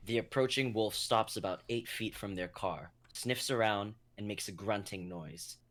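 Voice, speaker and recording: A young man serves as narrator of a scripted drama. His voice is fairly low as he reads out dramatic action. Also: normal speed, fairly bright tone. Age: young adult.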